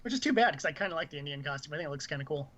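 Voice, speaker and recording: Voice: mid-range voice, animated, rapid-fire, a bit bright, slight background noise. A podcast co-host chatting casually about video games. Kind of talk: casual gaming chat. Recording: dull lo-fi stream audio.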